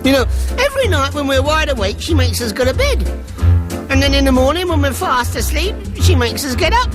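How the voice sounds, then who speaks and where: A man's voice: very high-pitched, big pitch swings, brisk, music in the background. A man playing a cheeky, boastful little English schoolboy, childish and indignant as he tells tales on a vintage comedy record. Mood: indignant, gossipy. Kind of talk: comic novelty song with spoken patter.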